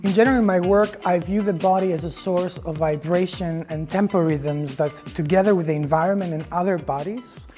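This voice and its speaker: A man's voice: high-pitched, steady tone, a little fast, few pauses, a little mellow, faint music behind. A man with a Greek accent, a choreographer giving scripted tutorial instruction. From Greece.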